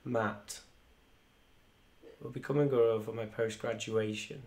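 Chatty young man, flat tone. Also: lowish voice, takes it slowly. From Wales. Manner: chatty, rambling, reads viewer comments aloud. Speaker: musician hosting a live stream. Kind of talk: casual live stream chat. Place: small room indoors at home. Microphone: desk microphone in front.

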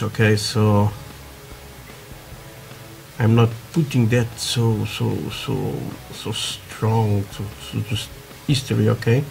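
A man from Portugal with a Portuguese accent, chatting haltingly through a hobby tutorial with many fillers, while building at a workbench. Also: mid-range voice, gravelly, a little mellow. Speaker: scale model builder. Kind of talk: casual hobby tutorial talk. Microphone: camera over work desk, hands only.

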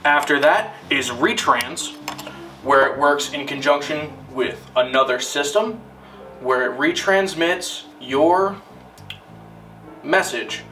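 A man narrating a scripted instructional film in a deliberate, formal, step-by-step manner. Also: medium pitch, sharp tone, far from the mic.

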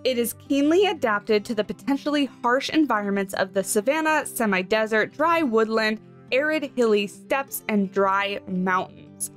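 A young woman speaks in a fairly high voice, slightly off from a lingering cold. She chats with bubbly excitement and reads animal facts in a voice-over. Faint guitar music plays underneath. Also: moderate pace. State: recovering from a cold, voice a bit off. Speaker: game channel host. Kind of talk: casual screenshot commentary.

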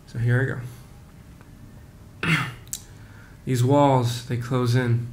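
Young man, late teens, student poet; deliberate, solemn reading, little pitch movement, unhurried pace. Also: little pitch movement. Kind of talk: poetry reading. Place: living room, close webcam. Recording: faint rumbling background noise.

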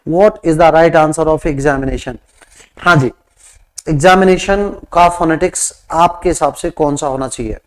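A man with a Rajasthani Hindi accent teaches an English exam class in Hindi. His voice sits fairly high. He quizzes the students and then gives the answers himself, drawing English words out slowly as he writes on the board. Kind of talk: exam practice lesson. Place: classroom studio with a whiteboard screen.

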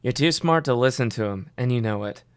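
A man voicing a stern, sarcastic conscience angel in an animated dark comedy, admonishing and sardonic. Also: mid-range voice, a little fast, clear, resonant tone, dry recording, studio quality, close to an everyday voice.

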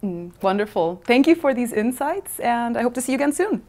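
A woman's voice: a little high, very animated, brisk, mellow. A woman in her thirties presenting in a polished, upbeat way, speaking to viewers and interviewing staff in an office. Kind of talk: scripted corporate presenting. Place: bright modern office. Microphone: lapel mic.

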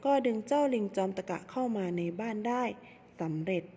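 A woman's voice: medium pitch, animated, moderate pace, mellow, slightly rough. A young woman student narrating a children's story in short, simple phrases, in a reverberant hall. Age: young adult.

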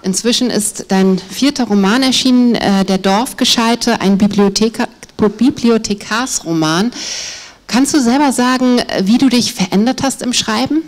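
A woman's voice: fairly high pitch, normal speed. A woman in her forties moderating a literary stage interview, speaking in a friendly way with light fillers into a handheld mic. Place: literary event on a stage, indoors.

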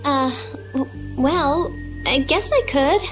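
A woman's voice: high voice, lively intonation, music in the background. A woman voicing a prickly but secretly affectionate teenage girl researcher in a video game, blunt and awkward. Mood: guarded, sincere. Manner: blunt, halting when embarrassed. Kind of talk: video game cutscene acting.

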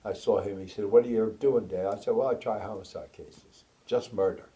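A man's voice: fairly low pitch, steady tone, fairly quick, mellow, dull audio. A man in his eighties, a retired judge, telling rambling stories in an oral history interview and acting out the quotes. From United States. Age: 80s. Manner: rambling, anecdotal, acts out quotes. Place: formal office with flags, seated on sofa.

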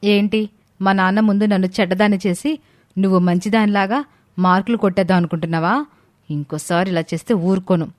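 ఒక మహిళ నటించిన అసూయతో రగిలే పదునైన కోడలు. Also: female voice, mid-range voice, steady tone, fairly quick, dry recording, close to an everyday voice. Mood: confrontational. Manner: sarcastic, curt, quarrelsome. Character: sharp, jealous, scheming young daughter-in-law of a joint family. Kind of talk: Telugu moral story dramatization.

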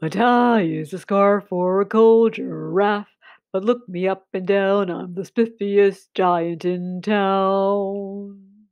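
A woman reading aloud and voicing a kind, scruffy male giant in a lower, fuller voice. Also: expressive, moderate pace, vocal fry, few pauses, dry recording. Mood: sing-song.

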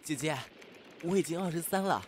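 A man's voice: a little high, a little fast, slight background noise, lightly acted. A man acting an earnest, flustered young man in his early twenties in an audio drama. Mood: insistent. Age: 20s.

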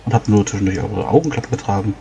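A man's voice: fairly low pitch, quick pace, gravelly, warm tone. A man playing a plain-spoken, curious adventurer in a tabletop roleplay, casual, questioning and a little unsure. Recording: music behind.

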